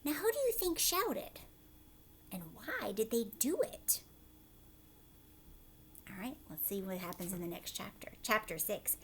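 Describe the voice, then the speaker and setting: A woman teacher reading a storybook aloud to children, expressive, with explanatory asides and questions to the listeners. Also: mid-range voice, measured pace, pauses often, slight background noise.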